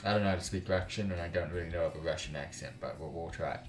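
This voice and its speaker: A man with a fairly low voice hosts a casual let's play over background music. He reads story text aloud haltingly and breaks off into exasperated, slang-filled commentary. The room can be clearly heard around his voice. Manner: exasperated, informal slang, swearing; halting, stumbling reading. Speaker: gaming YouTuber, let's play host. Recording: roomy sound with music underneath.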